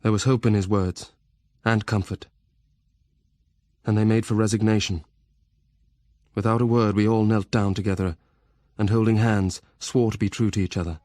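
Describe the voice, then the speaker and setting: Male narrator, fairly deep, for dramatic gothic storytelling, recorded dry. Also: steady tone, normal speed, long pauses, darkish tone, no room sound.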